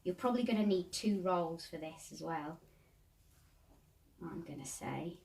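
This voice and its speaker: A woman's voice: fairly low pitch, unhurried, creaky, a little mellow, far from the mic. A woman hosting a casual hands-on craft tutorial, chatty and talking to herself as she works. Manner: chatty, self-talking while working.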